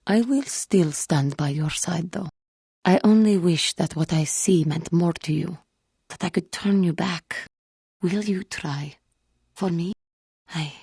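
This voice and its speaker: A woman playing a wounded, earnest female alien Jedi in a video game, speaking in formal, measured phrases. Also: lowish voice, mellow, slightly rough, no room sound, studio quality, heavily acted. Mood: troubled, grave, then subdued, apologetic. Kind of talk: scripted video game character dialogue.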